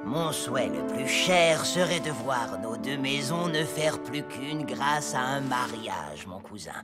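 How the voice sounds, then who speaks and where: A man's voice: mid-range voice, lively intonation, slow. A man playing a pompous, scheming sorcerer father in a cartoon, theatrical and grandiose. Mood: smooth, insinuating. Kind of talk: animated cartoon voice acting. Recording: long hall reverb, loud music.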